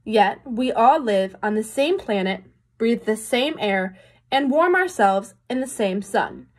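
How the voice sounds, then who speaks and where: A woman's voice: a little high, animated, unhurried. A young adult woman reading a picture book to children as a teacher would, with explanatory asides and questions to her listeners. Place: small room indoors.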